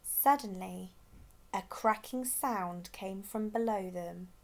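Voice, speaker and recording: A woman's voice: mid-range voice, slow pace, gravelly. A woman reading a children's storybook aloud expressively as a storyteller.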